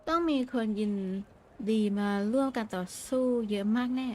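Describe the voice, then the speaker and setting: A woman's voice: on the high side, lively intonation, rapid-fire, warm tone. A woman game streamer giving casual playthrough commentary and reading dialogue aloud. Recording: game music behind the voice.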